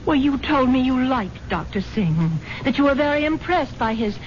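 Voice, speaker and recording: An actress in a radio mystery playing a rich, gullible, headstrong wife, genteel and earnest. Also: female voice, sits high, big pitch swings, moderate pace. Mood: earnest, defensive. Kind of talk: radio mystery drama acting. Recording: muffled vintage radio, faint noise.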